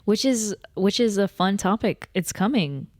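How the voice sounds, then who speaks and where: A young woman from the Netherlands, co-hosting a podcast in relaxed, chatty conversation. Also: mid-range voice, unhurried, no echo, studio quality. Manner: relaxed, chatty, many fillers. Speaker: podcast co-host. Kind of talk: casual chat.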